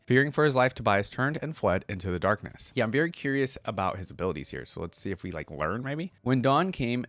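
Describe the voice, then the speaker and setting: Low-pitched man, casual reaction host. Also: dry recording. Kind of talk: casual reaction commentary with read-aloud lore.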